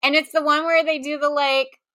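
Bright, higher-voiced woman bantering casually. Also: level tone, brisk, dry recording. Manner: exclamatory, incredulous reactions, repeats words. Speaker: podcast co-host guessing song titles.

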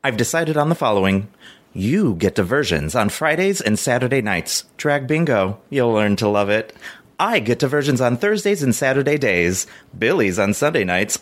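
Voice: medium pitch, natural voice. A performer playing a scorned, territorial ex-partner in a dramatic reading of breakup messages.

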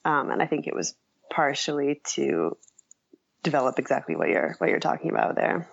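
A woman's voice: rumbling low, big pitch swings, normal speed, creaky, husky, lo-fi sound. A woman being interviewed as a writer, speaking casually and reflectively in a hesitant, self-effacing way.

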